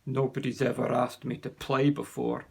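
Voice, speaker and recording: A man putting on a gruff school bully in a children's storybook. The boy's voice is gravelly and husky, loud and blunt. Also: medium pitch, level tone, normal speed.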